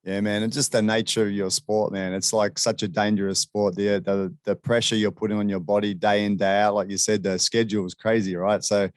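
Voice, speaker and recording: A man's voice: fairly low pitch, level tone, a little fast, few pauses, no echo. A man hosting a casual sports interview in a chummy, informal way. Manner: chummy, informal, often addressing guest as "man". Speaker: interviewer, show host.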